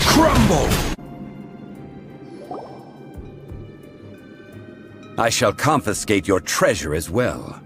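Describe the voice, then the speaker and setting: A man playing a calm, dignified, stern scholarly consultant in a video game, speaking in formal, measured, authoritative phrases. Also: fairly low pitch, full character voice. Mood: commanding, wrathful.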